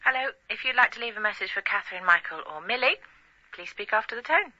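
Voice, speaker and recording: A woman's voice: medium pitch, expressive, fast talker, pushed and bright, no room sound. An actress playing a mother recording a sing-song answerphone greeting for a radio comedy. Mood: bright, announcing. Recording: muffled, dry answerphone message.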